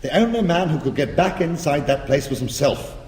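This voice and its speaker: A man's voice: fairly high pitch, big pitch swings, a little fast, a little mellow, slight background noise. An actor playing a defiant, sardonic British criminal arguing his case insistently in a radio drama. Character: defiant, quick-witted male criminal defending himself. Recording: echoey, reverberant church-like space.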